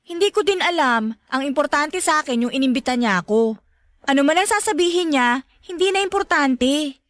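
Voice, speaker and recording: Very high-voiced, feisty young lead played by a woman. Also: lively intonation, normal speed, no room sound. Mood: wistful. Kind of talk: Tagalog radio drama acting.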